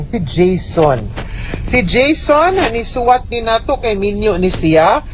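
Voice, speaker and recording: A dull radio recording with faint noise carries a woman with a Cebuano accent. She hosts an advice programme, explaining legal points to listeners in an emphatic, conversational manner. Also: mid-range voice. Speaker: lawyer and radio advice host.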